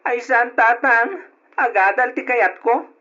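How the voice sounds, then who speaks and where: An earnest, effeminate teenage boy in an old radio drama. He sounds wounded and plaintive, pleading softly with his parents. The recording is muffled and lo-fi. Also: extremely high pitch, slow pace, full character voice. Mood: hurt, plaintive. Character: hurt, earnest effeminate teenage son longing for college.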